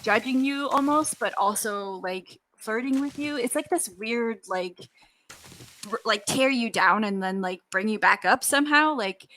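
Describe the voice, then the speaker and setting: Young female guest, bright forceful voice, very informal halting chat, faint background noise on the mic. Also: on the high side. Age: millennial, young adult. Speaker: interview guest, voice actress and gamer. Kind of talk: casual chat.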